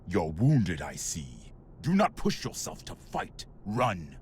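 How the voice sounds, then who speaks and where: An actor playing a solemn, enigmatic warrior who speaks formally and stiffly in an anime game cutscene. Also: male voice, low voice, huge pitch swings, slow, a little mellow, a little hiss or hum, full character voice. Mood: solemn, cold.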